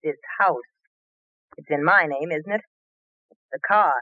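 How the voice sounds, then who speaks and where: A woman's voice: sits low, measured pace, bright, forceful tone, dry recording, heavily acted. An actress playing a scheming wife who is turning into a cat, taunting her husband in an old radio play. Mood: cold taunting. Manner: cold and taunting, then frantic. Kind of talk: 1940s radio acting. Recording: dull old radio recording.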